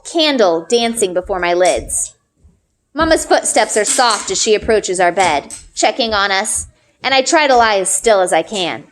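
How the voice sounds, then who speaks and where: Higher pitched lady doing a lively storybook read aloud, voice goes up and down a lot. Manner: animated, acting out dialogue. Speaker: teacher reading aloud.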